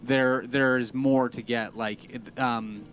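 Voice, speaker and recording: A man co-hosting a game stream, chatting in a rambling, halting way with many fillers. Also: mid-range voice, fairly even intonation, a bit bright. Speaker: stream co-host. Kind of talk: casual gaming chat.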